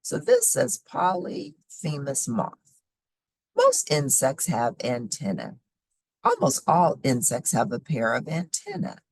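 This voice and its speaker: A woman reading a children's nonfiction book aloud in a deliberate, explanatory way, emphasizing key words. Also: a bass voice, huge pitch swings, slightly rough, no room sound. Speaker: host reading children's science books aloud.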